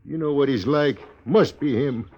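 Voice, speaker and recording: An actor playing an angry, accusing wounded stagecoach driver in a 1950s radio western, blunt and insistent in folksy speech. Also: male voice, medium pitch, moderate pace, close to an everyday voice. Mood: bitter, accusing. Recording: dull, noisy old radio recording.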